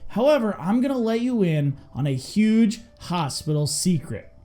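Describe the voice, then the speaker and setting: A mellow voice with a very lively, swinging intonation delivers scripted instruction. The speaker hosts as an EMS instructor, emphatic and direct, with faint music underneath. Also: mid-range voice, normal speed. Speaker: EMS instructor and video host.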